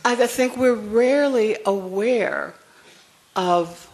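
An older woman with a soft voice, speaking frankly and wryly on a discussion panel. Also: fairly high pitch, takes it slowly, a little hiss or hum. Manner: frank, wry, chuckling asides.

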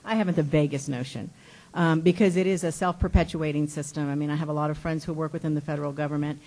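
A woman's voice: low voice, fairly even intonation, fast talker, warm tone. A middle-aged woman from El Paso, Texas, answering questions on a panel in an impassioned, rambling way, with restarts and laughs as she talks.

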